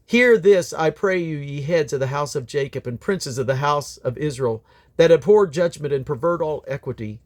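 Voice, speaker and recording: Man reading scripture aloud. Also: a little high. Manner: halting over difficult names, stumbles and self-corrects. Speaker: Bible reader. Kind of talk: scripture reading aloud from the King James Bible.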